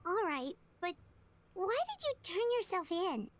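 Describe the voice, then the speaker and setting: Squeaky, very high childlike companion. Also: female voice, very animated, unhurried, full character voice. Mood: curious. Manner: earnest, refers to self by name. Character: tiny childlike floating companion, outspoken and indignant. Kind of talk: scripted anime game acting.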